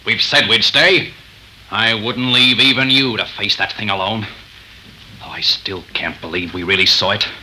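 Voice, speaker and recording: A man's voice: fairly low pitch, fairly even intonation, moderate pace, bright, forceful tone, slight background noise, low-quality recording. Piercing, defiant frontier man. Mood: exasperated. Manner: blunt, emphatic. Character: defiant, indignant frontier man held at gunpoint. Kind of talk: 1930s radio horror acting. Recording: old 1930s radio transcription recording.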